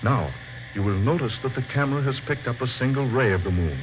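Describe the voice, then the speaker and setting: An actor playing a grave, visionary scientist in an old-time radio drama, speaking formally and with theatrical deliberation. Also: male voice, mid-range voice, lively intonation, fast talker, natural voice. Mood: grave, secretive. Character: grave, visionary male scientist unveiling his secret discovery. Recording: dull, faintly noisy old radio recording.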